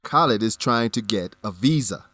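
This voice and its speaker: A man reading the scripted narration and announcements for a radio drama for English learners, slowly and clearly. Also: fairly low pitch, lively intonation, sharp tone, dry recording. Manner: slow, clear, measured.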